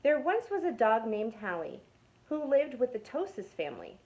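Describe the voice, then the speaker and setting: A young woman telling a children's story from a youth club. Her reading is expressive and storybook-paced. At suspenseful moments she sinks into a hushed whisper. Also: a little high, normal speed, poor audio. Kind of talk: reading a picture book aloud to children.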